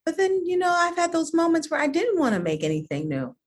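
A woman's voice: extremely high pitch, sing-song, a little fast. Earnest woman designer, interview guest. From United States (Connecticut). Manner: earnest, repeats words while gathering thoughts. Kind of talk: reflective interview conversation.

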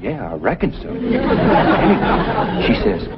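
An actor playing a naive, boastful young backwoods man with a Southern hillbilly accent, telling tales in a folksy, excitable way in an old TV sitcom. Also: high voice, takes it slowly, a loud crowd, full character voice. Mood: eager, boastful. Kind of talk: 1960s TV sitcom acting. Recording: dull, noisy old TV soundtrack.

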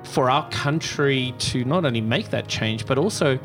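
A man's voice: mid-range voice, moderate pace, over music. A middle-aged man with a South African accent, answering interview questions thoughtfully with many fillers and restarts. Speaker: executive director of a pride center.

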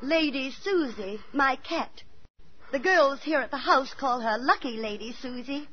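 A woman's voice: high-pitched, unhurried, dull audio, slight background noise. An actress playing a motherly, watchful boarding-house landlady in 1940s radio drama style, on an old band-limited broadcast. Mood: proud, fond.

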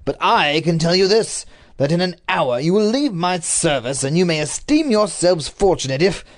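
An imperious nobleman who speaks with grim, commanding bitterness, voiced by an audiobook reader. His lines sit a little higher than the narration and move animatedly. It sounds like a storybook reading. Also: animated, normal speed. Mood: stern, angry. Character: stern, imperious aristocratic duke, angry.

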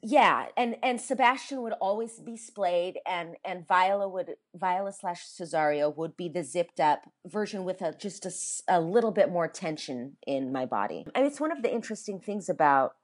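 Actress with a young-sounding voice. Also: female voice, lively intonation, normal speed, few pauses. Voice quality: higher pitched, young sounding voice. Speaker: stage actor. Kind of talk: interview, relaxed reflective answers.

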